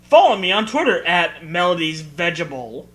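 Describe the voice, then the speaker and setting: A man's voice: high voice, big pitch swings, moderate pace, fairly bright tone. A man co-hosting with comedic riffs, mocking and exasperated, swearing freely. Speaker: co-host. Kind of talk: comedic riffing commentary.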